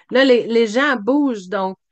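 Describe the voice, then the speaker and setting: A woman's voice: a little high, no echo, highs cut off. A woman leading an online video meeting, handing the floor to each speaker and praising their answers. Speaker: meeting facilitator. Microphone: computer mic on video call.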